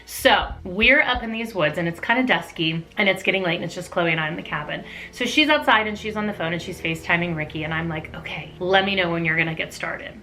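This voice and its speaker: Bright-voiced middle-aged woman telling a story. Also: fairly low pitch, low-quality recording. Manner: animated, gossipy, acts out dialogue. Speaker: vlogger telling a personal story. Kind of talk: casual storytime monologue to camera.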